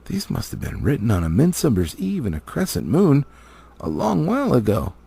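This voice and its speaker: A man's voice: medium pitch, huge pitch swings, normal speed, vocal fry, warm tone, husky, exaggerated voice. A man voicing a stately, learned elf lord from a storybook, solemn and declamatory in his delivery. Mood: instructive. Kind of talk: storybook character reading.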